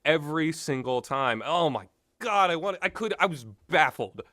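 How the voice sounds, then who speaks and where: A man's voice: fairly high pitch, animated, moderate pace, pushed and bright, dry recording, clean studio sound. A man giving unscripted, opinionated talk as a host, wandering off on tangents and swearing casually. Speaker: host of a video game discussion show.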